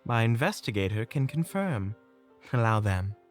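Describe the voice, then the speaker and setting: A man's voice: medium pitch, very animated, normal speed, soft background music, lightly acted. A man playing a polite, dignified and weary leader of adventurers, speaking formally in a fantasy audio drama. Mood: apologetic, courteous. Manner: formal, courteous, restrained. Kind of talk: fantasy audio roleplay acting.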